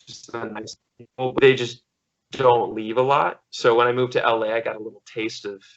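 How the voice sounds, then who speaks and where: A man's voice: mid-range voice, fairly even intonation, moderate pace. An American man in his thirties telling stories in a casual, rambling way as an interview guest on a video call. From small-town Missouri, USA. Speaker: podcast guest, stuntman and actor. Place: living room at home, on video call. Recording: slightly dull video call audio.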